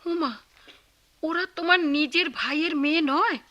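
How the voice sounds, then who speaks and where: An actress playing a kind but outspoken middle-aged aunt and wife in a radio play, fussing and exclamatory. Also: female voice, high voice, unhurried, bright, forceful tone, highs cut off. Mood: scolding. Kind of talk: Bengali radio play acting.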